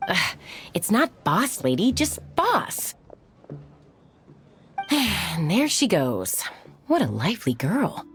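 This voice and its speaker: A woman's voice: medium pitch, wildly swooping pitch, slow, pauses often, soft background music. A woman voicing an exasperated but fond boss in a video game, with a dry, weary manner.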